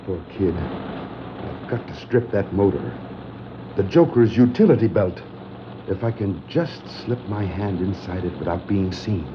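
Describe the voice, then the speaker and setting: Earnest, animated masked crime fighter. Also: male voice, low voice, measured pace. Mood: tense, plotting. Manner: earnest, declarative heroic delivery. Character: steady, resourceful adult male masked crime fighter. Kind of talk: 1960s radio-play superhero acting. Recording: muffled, noisy 1960s vinyl record.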